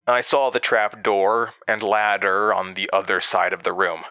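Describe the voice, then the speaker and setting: A man playing a haunted narrator in a horror audio drama, recalling his past gravely with dramatic pauses. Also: lowish voice, little pitch movement, pushed and bright, no room sound, studio quality. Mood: grave recollection. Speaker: narrator and protagonist.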